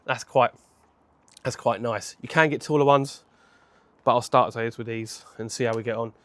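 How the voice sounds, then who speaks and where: A man, hoarse from a cold, chatting casually to camera outdoors on a home patio, rambling and correcting himself as he goes. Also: mid-range voice, fairly even intonation, normal speed, fairly bright tone, no echo. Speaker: motorcycle vlogger.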